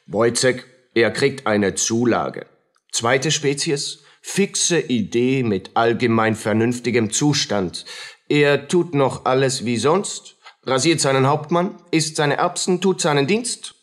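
A man's voice: mid-range voice, takes it slowly, lightly acted. A man playing a pompous, scolding doctor obsessed with experiments, declaiming in barked phrases in a radio drama. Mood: pleased, commanding.

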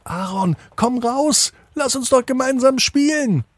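A man's voice: extremely high pitch, lively intonation, measured pace, no room sound, voice pushed up, full character voice. A man voicing a playful, pleading little talking raindrop in a children's bedtime story, coaxing in a sing-song manner. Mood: playful, inviting.

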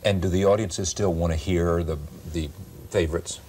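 A man's voice: sits low, steady tone, normal speed, darkish tone, slight background noise. A middle-aged man hosting a broadcast interview, probing and rephrasing his questions at length, on a lapel mic in a studio. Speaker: talk show host. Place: television studio set.

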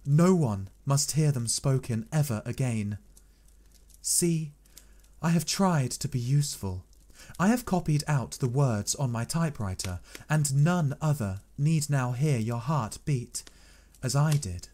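A man reading a Victorian novel aloud, voicing a young woman who pleads earnestly and gravely to help in a terrible struggle. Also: mid-range voice, lively intonation, measured pace, warm tone. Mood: earnest pleading.

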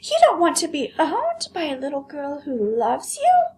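A woman voicing a vain, haughty female china doll in a storybook, with a high, squeaky voice and a dismissive, self-important manner. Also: wildly swooping pitch, normal speed, darkish tone, full character voice. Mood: shocked.

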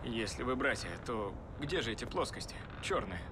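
A man's voice: medium pitch, animated, normal speed, background noise, lightly acted. An actor voicing a put-upon cartoon courier creature, a man with a dry, sarcastic, theatrical manner. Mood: sardonic. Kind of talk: animated film acting.

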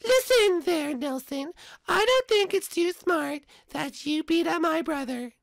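A woman's voice: very high voice, lively intonation, a little slow, gravelly, dry recording, studio quality, heavily acted. A woman voicing a bossy, confident young girl who keeps encouraging her brother, in a homemade cartoon play.

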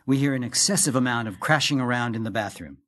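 A man's voice: medium pitch, quick pace, fairly bright tone. A man giving scripted narration, reading the stage directions of a play.

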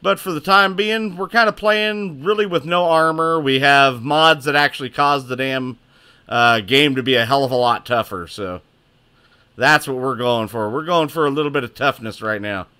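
Booming, excitable male game streamer. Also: moderate pace, smooth. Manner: booming, loud and excitable, swearing, reading chat aloud. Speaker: gaming streamer. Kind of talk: live gameplay commentary.

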